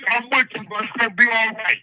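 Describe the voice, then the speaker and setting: Male host, high bright voice, hammering the same question in a heated profane argument. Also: flat delivery, fast talker, no echo. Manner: aggressive, profane, repeats questions. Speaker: livestream host.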